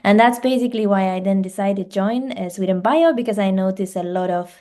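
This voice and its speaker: Mellow-voiced woman, hesitant. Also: medium pitch, a little fast. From Finland. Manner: fluent second-language speaker, hesitant fillers and restarts. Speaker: guest, life science director. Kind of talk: podcast interview.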